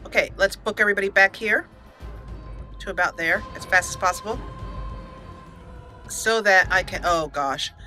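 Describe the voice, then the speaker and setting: A woman's voice: medium pitch, moderate pace, long pauses, pushed and bright, faint music behind. A woman hosting a let's play, chatting playfully through her gameplay, laughing often and reading game text aloud. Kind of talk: gameplay commentary.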